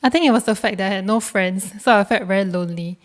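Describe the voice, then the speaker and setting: Young woman from Korea, laughing softly. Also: mid-range voice, quick pace. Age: 20s. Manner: plain, short answers with frequent soft laughs. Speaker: guest, university student. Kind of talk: casual interview answers.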